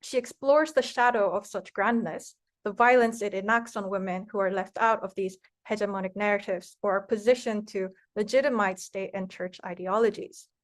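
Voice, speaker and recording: A woman giving a scripted academic conference presentation in a measured, formal way. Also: medium pitch, fairly even intonation.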